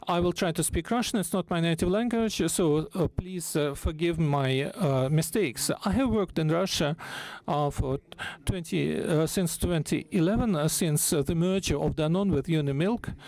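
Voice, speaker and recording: A man interprets live at a conference in a fairly high voice. His delivery is halting and comes piece by piece as he keeps up with the speaker. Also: a little mellow, no echo.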